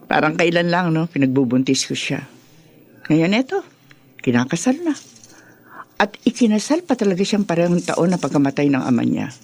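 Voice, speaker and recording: A woman playing a doting, excitable, fussy middle-aged mother in a radio drama, exclaiming and nagging as she chats. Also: deep voice, normal speed, pauses often, exaggerated voice. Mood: wistful. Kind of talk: Tagalog radio drama acting.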